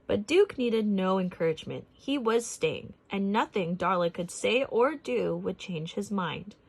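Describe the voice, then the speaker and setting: A woman narrating a picture book as a read-aloud for children, expressive and deliberate in her phrasing. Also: medium pitch, gravelly, slightly rough.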